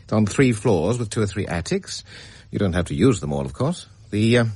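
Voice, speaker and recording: A fussy, evasive estate agent. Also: male voice, fairly low pitch, very animated, a little fast, a little mellow, husky, no room sound, exaggerated voice. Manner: hesitant, deferential, hedging with ums. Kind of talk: radio drama acting.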